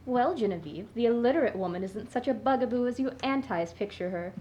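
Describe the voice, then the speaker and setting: A woman's voice: a little high, expressive, brisk, dark tone, lightly acted. A woman playing a genteel, wry suffragist society lady in a radio play, poised and persuasive. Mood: earnest, composed. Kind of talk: stage-style radio play acting. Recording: faint background noise.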